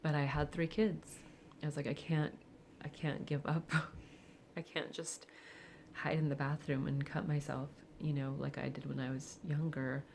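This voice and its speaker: A woman of forty speaking candidly and reflectively in a personal vlog, with soft laughs and hesitant fillers. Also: low voice, level tone, moderate pace, creaky, slight background noise. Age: 40s. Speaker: vlogger. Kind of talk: personal confessional monologue.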